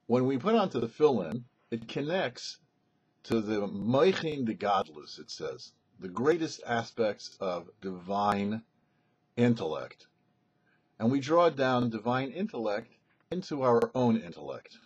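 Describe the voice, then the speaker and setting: A man speaks as a rabbi giving Torah commentary. His medium-pitched voice is husky and moves through lively rises and falls as he explains deliberately, stressing key phrases. The recording is slightly dull and lo-fi. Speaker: rabbi presenting a weekly Torah portion show. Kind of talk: religious teaching, scripted-sounding Torah commentary.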